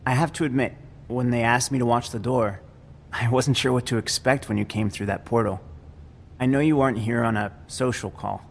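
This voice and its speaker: A man's voice: mid-range voice, steady tone, fairly quick, slight background noise, natural voice. Meek, earnest doorman played by a man. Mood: polite, nervous. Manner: polite, pleading, hesitant. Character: meek, earnest, dutiful little male doorman. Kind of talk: video game dialogue.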